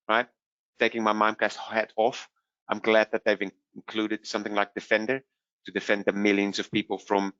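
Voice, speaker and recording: Dutch-accented man, low voice, halting with fillers, dry muffled line. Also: fairly bright tone, dry recording. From Netherlands. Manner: hesitant, many fillers and restarts. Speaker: vendor product specialist. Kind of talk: webinar Q&A answer.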